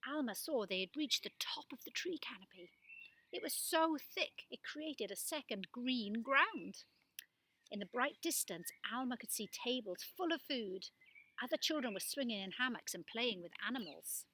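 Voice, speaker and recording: A woman giving an expressive, animated reading of a children's story. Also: fairly high pitch, moderate pace, slight background noise, low-quality recording. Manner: animated delivery with acted-out dialogue. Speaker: storybook reader.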